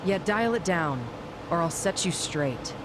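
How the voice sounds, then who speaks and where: A woman's voice: on the low side, lively intonation, unhurried. An actress playing a cool, tough, pragmatic gang boss in a video game, curt and guarded, with noise behind her. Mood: curt, annoyed. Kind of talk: English video game voice acting.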